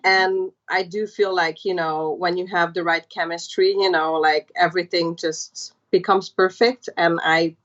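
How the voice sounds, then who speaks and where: Guest spot: Hungarian-born woman in her thirties, low-set voice, chatty storyteller, muffled lo-fi line. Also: steady tone, unhurried, few pauses. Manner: chatty, warm storytelling with many fillers. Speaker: podcast guest. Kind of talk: casual interview chat.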